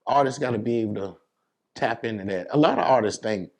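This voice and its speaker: A man's voice: medium pitch, fairly quick. A man from New York City speaking as a DJ in a casual interview, giving emphatic advice in informal, slangy talk. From New York City, United States. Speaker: DJ being interviewed.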